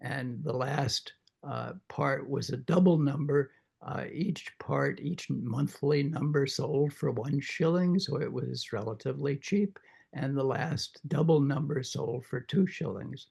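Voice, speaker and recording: Croaky, halting older man. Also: mid-range voice, a little mellow. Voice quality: croaky after throat surgery. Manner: halting, many uhs and restarts. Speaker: literature professor leading a reading group. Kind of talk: informal lecture.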